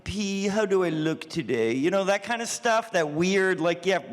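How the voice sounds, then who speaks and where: A man's voice: high-pitched, lively intonation, normal speed. A man chatting casually on a panel about his voice acting, recorded in a reverberant hall. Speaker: show creator and voice actor on a panel. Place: large panel room.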